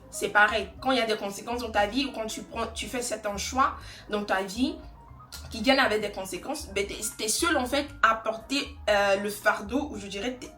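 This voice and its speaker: A woman's voice: mid-range voice, a bit bright, reverberant. A young woman from Côte d'Ivoire chatting casually while doing her makeup, rambling and laughing often. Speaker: beauty vlogger. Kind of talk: casual get-ready-with-me chat.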